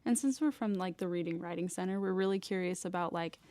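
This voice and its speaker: A woman's voice: fairly low pitch, fast, warm tone. A woman with an American accent chatting informally as a podcast co-host, often saying "like". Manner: chatty, informal, frequent use of "like". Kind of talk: casual podcast chat.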